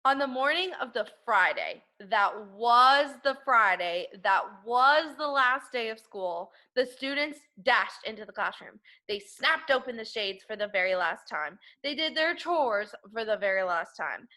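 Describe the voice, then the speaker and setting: A woman reading a children's picture book aloud like an elementary school teacher, with expressive, emphatic narration aimed at young children. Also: a little high, a little slow, few pauses. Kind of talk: animated children's storybook read-aloud.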